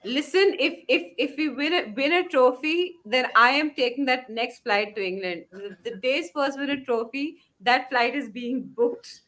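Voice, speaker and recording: A woman from the tropics co-hosts a football fan livestream. Her voice is fairly high and very bright, with a sharp, forward edge. She talks in an emphatic, enthusiastic way. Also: moderate pace, hardly any pauses. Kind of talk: casual chat.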